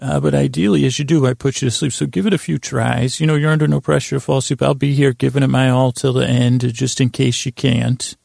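A man hosting a bedtime podcast, rambling in a halting, meandering way with a gravelly, creaky voice. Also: medium pitch, a little mellow, no echo, clean studio sound. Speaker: podcast host. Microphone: close podcast microphone.

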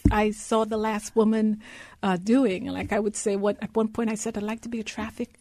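A middle-aged woman from Guyana telling personal stories as an interview guest, with deliberate, emphatic phrasing. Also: medium pitch, flat delivery, warm tone, no room sound. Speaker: interview guest, consulting executive.

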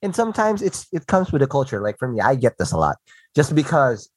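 Man, from the Philippines, American-sounding accent, interview host, emphatic and animated, lively pitch, dry room. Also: a little fast, dry recording. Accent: American-sounding, learned in a call center. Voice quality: animated, emphatic, laughs often. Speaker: podcast host. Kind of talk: casual interview chat.

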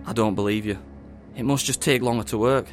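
A man's voice: lowish voice, steady tone, a little fast, faint music behind, natural voice. A man playing a smug, menacing government agent in an audio drama, speaking with condescending emphasis. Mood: bitter, indignant.

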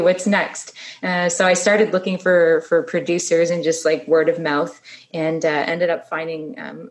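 A Canadian woman in her thirties speaks as an interview guest, her voice sitting on the low side. She tells her story in a loose, chatty way full of "um" and "like". She softens and drops quieter when a subject gets hard. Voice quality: low voice for a female singer. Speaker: guest singer-songwriter.

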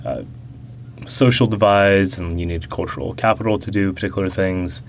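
Low-voiced man, halting and reflective. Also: fairly even intonation, slight background noise. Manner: hesitant, many fillers and restarts. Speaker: interviewee. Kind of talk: casual reflective interview.

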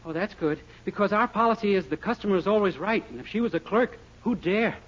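An actor playing a naive, eager young clerk, guileless and deferential, in a 1940s radio sitcom. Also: male voice, high-pitched, quick pace. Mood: earnest, surprised. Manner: guileless, gee-whiz, deferential. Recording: muffled, very noisy 1940s radio recording.

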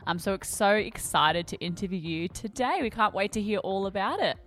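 A woman's voice: mid-range voice, animated, moderate pace, a bit bright. A woman hosting an interview, polite and enthusiastic as she introduces her guest. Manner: polite, enthusiastic, uses "um" as filler. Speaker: interview host. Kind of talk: casual interview opening.

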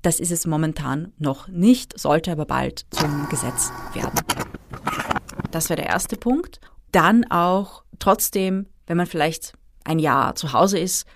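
A woman, calmly explaining retirement savings as an interview guest. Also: sits low, takes it slowly, background noise. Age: 30s. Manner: explanatory, methodical. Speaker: finance expert guest. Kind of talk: interview answers.

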